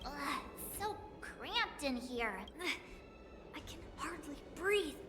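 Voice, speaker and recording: A woman's voice: high-pitched, animated, slow pace, background noise. A woman voicing a cheeky, teasing young girl in an anime-style video game. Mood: eager, conspiratorial. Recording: music behind the dialogue.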